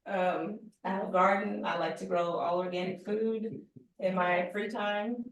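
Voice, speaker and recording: A woman gives an informal, slightly hesitant introduction of herself. Her pitch is average for a woman. She is heard a little way from the microphone, with heavy room echo. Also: steady tone, a little slow, dull audio, slight background noise. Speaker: real estate agent. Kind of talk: casual self-introduction. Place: indoor group meeting room. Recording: very echoey room, distant microphone.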